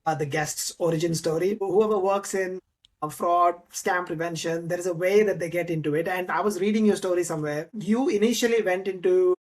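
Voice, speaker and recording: A man's voice: sits high, fairly even intonation, brisk. A man hosting a podcast interview, talking through his introduction with many fillers and restarts. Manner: hesitant, frequent fillers and restarts. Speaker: podcast host.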